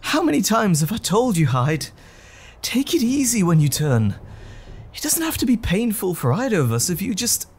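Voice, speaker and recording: Man acting a guilt-ridden polite teacher, breathy husky voice, lively intonation, halting, earnest, audio drama. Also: sits high, heavily acted. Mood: exasperated. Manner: hesitant, earnest, formal and polite.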